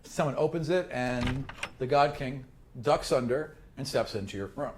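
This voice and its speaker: A man's voice: mid-range voice, moderate pace. A man working as game master, improvising narration for a tabletop fantasy game.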